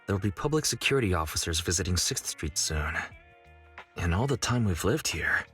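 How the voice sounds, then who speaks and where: Game role: a level-headed young man, lowish voice, lively intonation. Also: brisk, soft background music. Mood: calm. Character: calm young male shop manager.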